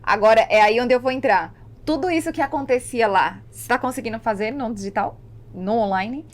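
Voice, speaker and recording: Casting: Brazilian woman, podcast host, fairly high-pitched, assertive and conversational, fond of rhetorical questions. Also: brisk.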